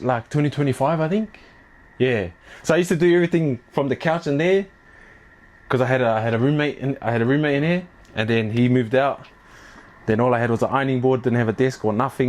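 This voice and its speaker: Laid-back young New man. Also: mid-range voice. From New Zealand. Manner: laid-back, slangy, many fillers. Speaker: clothing brand owner and athlete. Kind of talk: casual interview chat. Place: his apartment.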